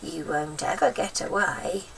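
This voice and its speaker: A woman's voice: low voice, measured pace, recorded from a distance, heavily acted. A woman voicing a sinister, gloating old grandmother in a storybook, drawing out nasty words with menacing relish. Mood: eerie, threatening. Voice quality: throaty, breathy whisper at times.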